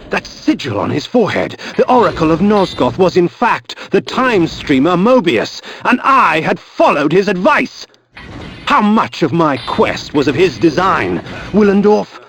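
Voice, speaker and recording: A man's voice: high voice, fairly bright tone, slight background noise, exaggerated voice. An actor playing a brooding, haughty vampire nobleman, narrating a video game in a grave, theatrical, eloquent manner. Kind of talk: dramatic scripted game narration.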